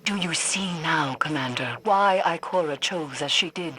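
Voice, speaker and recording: A woman's voice: low-pitched, moderate pace, pushed and bright. A woman playing a grave, cryptic female mystic who guides warriors in a game, speaking formally and theatrically. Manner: solemn, formal, ominous. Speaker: mission guide. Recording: noisy game sound effects behind.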